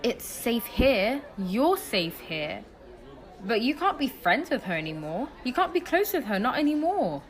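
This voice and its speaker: A woman acts a guarded, protective young friend in an audio drama. She answers in short, evasive bursts with lively swings of pitch, and people chatter clearly in the background. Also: unhurried. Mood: anxious, firm. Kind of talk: audio play acting. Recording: busy crowd murmur behind.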